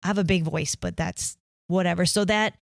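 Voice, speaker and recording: Woman, lowish voice, chatty storyteller, dry close sound. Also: fairly quick, dry recording. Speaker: guest, band mom of a senior. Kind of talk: casual podcast chat.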